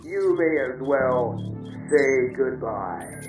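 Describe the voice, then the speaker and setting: Echoing, slow, menacing telescreen voiced by a man. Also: medium pitch, expressive. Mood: cold mockery. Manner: slow, deliberate barked orders. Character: cold, commanding surveillance telescreen, menacing state machine. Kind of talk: radio drama acting. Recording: echoey, distant, music underneath.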